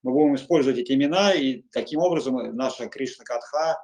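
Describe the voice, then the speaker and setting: A middle-aged man, a monk, giving informal spiritual guidance in a halting way, with many fillers and restarts. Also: medium pitch, a little mellow.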